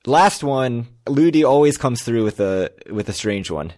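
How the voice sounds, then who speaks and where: A man's voice: medium pitch, animated, a little slow, darkish tone. An American man co-hosting a podcast, talking casually and a bit rambly, often laughing at himself. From United States. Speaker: podcast co-host. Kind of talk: casual chat.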